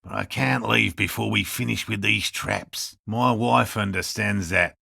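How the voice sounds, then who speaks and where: An elderly, scholarly cryptozoologist from a video game, earnest and stubbornly hopeful. His fairly low voice is heavily creaky and rough, and he speaks a little slowly, with formal, pedantic care. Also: bright, forceful tone, no echo, studio quality, full character voice. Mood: defensive, determined. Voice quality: gravelly, raspy. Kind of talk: video game character dialogue.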